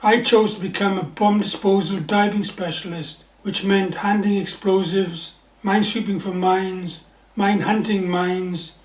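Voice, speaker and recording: An older man from the Welsh Valleys with a Welsh accent, an author telling stories from his past in a relaxed, wry, anecdotal way. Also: high voice. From South Wales Valleys. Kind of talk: personal storytelling to camera. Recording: echoey room.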